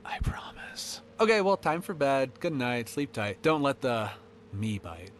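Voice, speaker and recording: A man's voice: medium pitch, big pitch swings, a little slow, slight background noise, voice pushed up. A man voicing an unrepentant killer hiding in an attic, sinister and darkly glib, in a first-person horror monologue. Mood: hushed threat.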